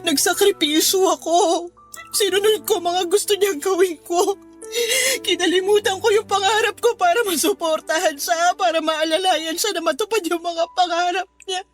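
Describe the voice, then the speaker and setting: A woman's voice: very high voice, fairly even intonation, moderate pace. An actress playing an anguished, self-doubting betrayed wife and mother in a radio drama, tearful and pleading as she cries. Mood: bitter, tearful. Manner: tearful, pleading, repeats herself. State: crying. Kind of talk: Tagalog radio drama acting. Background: background music.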